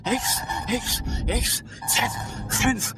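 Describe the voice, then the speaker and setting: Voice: medium pitch, extremely slow, over loud music, poor audio. A performer voicing a child athlete who counts and mutters in fragments, in a radio drama. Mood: strained, confused. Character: breathless child athlete counting laps, muttering game codes. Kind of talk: radio drama acting, inner monologue.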